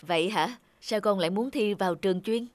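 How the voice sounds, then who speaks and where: A woman playing a gentle mother, soothing and concerned, in an animated cartoon. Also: mid-range voice, brisk, slight background noise, natural voice. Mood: concerned, supportive. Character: gentle, worried mother comforting her daughter.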